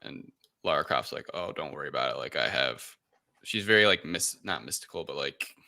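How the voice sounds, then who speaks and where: A man's voice: rumbling low, expressive, fairly quick, vocal fry, bright, forceful tone, dry recording, clean studio sound. A man hosting a casual film recap chat, retelling things in an informal, improvised way with quoted asides. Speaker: podcast host recapping a film.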